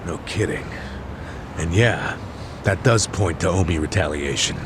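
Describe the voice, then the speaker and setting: Deep-voiced, terse tough guy. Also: a little slow, background noise. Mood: concerned. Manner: terse, casual. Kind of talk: video game dub acting.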